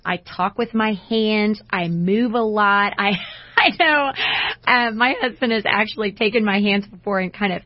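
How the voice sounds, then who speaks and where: A dry, slightly dull recording of a woman with a loud, strong voice at an average pitch. She speaks as a coach and co-host on an inspirational talk show. Her delivery is conversational and passionate. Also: moderate pace, dry recording. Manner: passionate, big personality.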